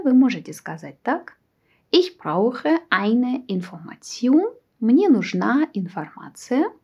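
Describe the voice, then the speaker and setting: A woman language teacher presents phrases at a slow, measured pace. Her voice sits a little high and moves in lively swings of pitch. She enunciates carefully and repeats phrases for learners. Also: muffled recording. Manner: deliberate, careful enunciation with repetition. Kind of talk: instructional phrase drill with translations.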